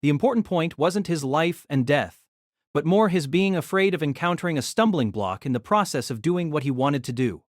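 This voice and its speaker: A man narrating a novel aloud in an expressive storytelling manner. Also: fairly high pitch, normal speed, no echo, clean studio sound.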